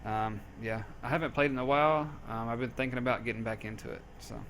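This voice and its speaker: A man's voice: medium pitch, fast talker, fairly bright tone, a little hiss or hum. A man with a Southern US accent, reading out and answering community questions in a casual, joking, easygoing way. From southern United States. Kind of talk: casual group Q&A.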